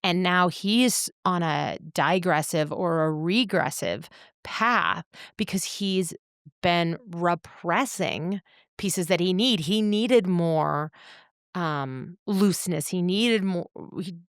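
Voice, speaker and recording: A woman co-hosting a podcast, speaking in a measured, articulate way as she reflects and analyzes. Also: fairly low pitch, clean tone, dry recording, clean studio sound. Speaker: podcast co-host.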